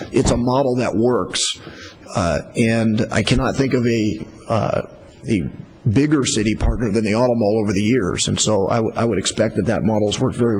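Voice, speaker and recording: A man answering council questions at a public meeting, halting, with frequent restarts and fillers. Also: on the low side, a little mellow, a little hiss or hum. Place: council chamber.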